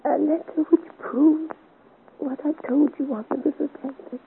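An actress playing a frail, dying young woman in a 1950s radio serial, mournful and resigned, speaking weakly in halting phrases. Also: high voice, steady tone, gravelly. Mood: urgent, failing. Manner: halting, weak, broken phrases. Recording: scratchy, tinny 1950s radio recording.

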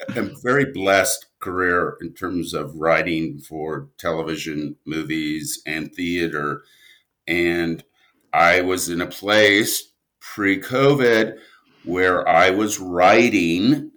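Male guest, low-pitched and unhurried, halting and deliberate, conversational with emphatic phrasing. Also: a bit bright. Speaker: podcast guest, writer and director. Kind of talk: conversational interview answers.

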